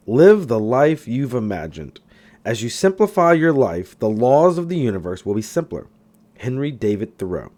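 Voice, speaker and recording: A man narrates a self-help book aloud. His intonation moves up and down in an animated way, and he leans on key phrases with clear emphasis. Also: medium pitch. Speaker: audiobook reader.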